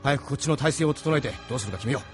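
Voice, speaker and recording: A man playing a gruff, decisive resistance captain with a brusque, commanding manner in a Japanese audio drama. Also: mid-range voice, rapid-fire, over music, close to an everyday voice. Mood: urgent, commanding.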